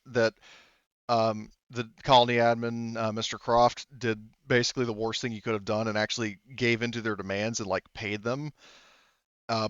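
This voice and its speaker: A man narrating a tabletop roleplaying game as game master, casually improvising and haltingly feeling his way through the details. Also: mid-range voice, a bit bright, smooth, dry recording, highs cut off.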